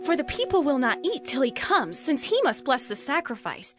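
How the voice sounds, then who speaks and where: A woman's voice: high-pitched, big pitch swings, normal speed. A woman playing a helpful, earnest young water-drawer in a dramatized scripture. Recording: music behind the voice.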